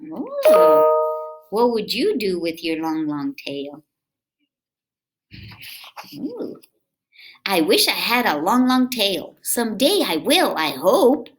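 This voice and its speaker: A woman reading a picture book aloud to young children in an expressive way, with drawn-out emphasis and chatty asides. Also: mid-range voice.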